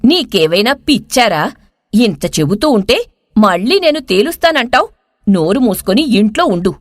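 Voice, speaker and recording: Woman acting a scolding village mother, lively pitch. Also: moderate pace, no room sound, clean studio sound. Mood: exasperated scolding. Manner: exasperated, scolding. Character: scolding, worried village mother of grown son.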